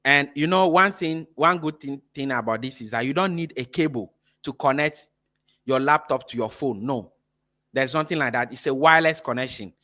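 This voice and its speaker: A man's voice: mid-range voice, moderate pace, pushed and bright. A man from Ghana hosting a live-streamed workshop for teachers, speaking energetically through a phone mic and often checking in with "right?". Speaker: workshop host and teacher trainer. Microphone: phone camera mic.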